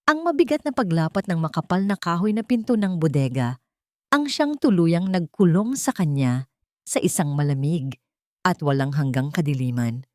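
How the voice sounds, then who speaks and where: A woman tells a dramatic audio story in a low-pitched voice. The sound is dry, with hardly any room in it, and the delivery is that of scripted storytelling. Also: expressive, moderate pace, a little mellow, dry recording. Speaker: storyteller narrator.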